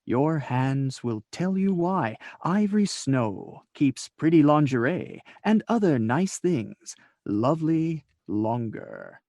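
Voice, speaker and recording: A man's voice: on the high side, very animated, takes it slowly, hardly any pauses, darkish tone, no room sound, studio quality. A man reading a 1940s-style radio commercial as announcer, polished and persuasive.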